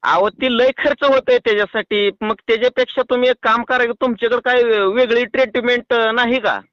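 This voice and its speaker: A man's voice: very high-pitched, fairly even intonation, a little fast, dry recording. A man with a rural Maharashtrian Marathi accent, talking colloquially and argumentatively as a farmer calling a doctor on the phone. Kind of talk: casual phone conversation. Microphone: phone call. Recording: muffled lo-fi phone call recording.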